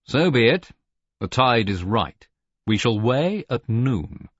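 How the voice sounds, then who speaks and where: A man's voice: medium pitch, very animated, takes it slowly, breathy edge, no room sound, highs cut off, exaggerated voice. A man playing a stern, cool senior naval officer with a clipped, commanding manner in an audiobook.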